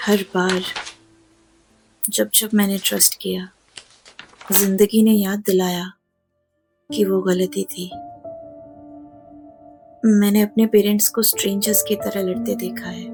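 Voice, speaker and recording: Slow-spoken, guarded, wounded young woman. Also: mid-range voice, flat delivery, soft background music. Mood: sad, confiding. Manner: halting, emotional, drops to hushed tones. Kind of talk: Hindi audio drama acting.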